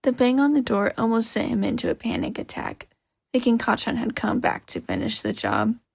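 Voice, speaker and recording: Podfic narrator, female: expressive scripted fiction read, creaky edge, animated pitch, dry recording. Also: moderate pace, no echo.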